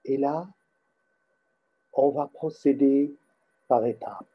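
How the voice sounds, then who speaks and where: A man leads a guided meditation in a husky voice. He speaks extremely slowly and haltingly, breaking instructions into short phrases. The recording is dull and lo-fi. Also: medium pitch.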